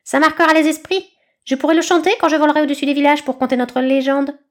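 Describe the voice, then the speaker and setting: A woman's voice: sits high, flat delivery, fast, voice pushed up, natural voice. A woman voicing a haughty, know-it-all young eaglet in a storybook, lecturing pompously. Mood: proud, approving.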